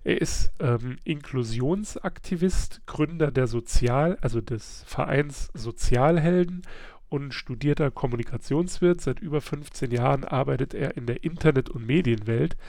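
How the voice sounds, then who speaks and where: A man with a gravelly edge hosts a relaxed book club podcast while nursing a slight cold. His voice is dry and close, with nothing around it. He chats loosely, laughs often and fills pauses with little hesitation sounds. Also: mid-range voice, dry recording. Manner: chatty, laughs often, many filler sounds. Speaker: podcast host.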